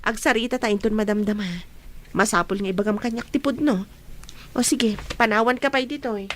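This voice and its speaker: A woman's voice: on the high side, a little fast, fairly bright tone, natural voice. A woman playing a frank, skeptical young woman in a radio drama, who doubts and presses her friend. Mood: pressing.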